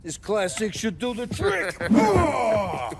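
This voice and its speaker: A loudmouthed jovial gunrunner. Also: male voice, high voice, huge pitch swings, slow pace, gravelly, heavy background noise, exaggerated voice. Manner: boisterous, laughs often, slangy. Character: loudmouthed male gang weapons supplier, jovial.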